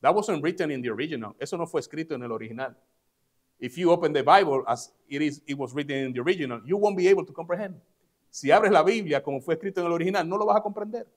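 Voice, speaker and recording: Bilingual preaching pastor, higher-pitched man. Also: fast. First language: Spanish. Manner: preaches each line in English, then repeats it in Spanish; emphatic, stressing key words. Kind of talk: bilingual sermon. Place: church service.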